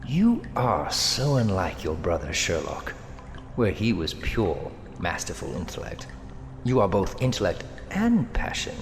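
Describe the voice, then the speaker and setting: A man's voice: deep voice, wildly swooping pitch, a little slow, exaggerated voice. An actor playing a menacing, amorous male villain in an audio drama, speaking in a theatrical, taunting and seductive way. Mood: seductive menace. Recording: long cavernous reverb with music underneath. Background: dramatic music.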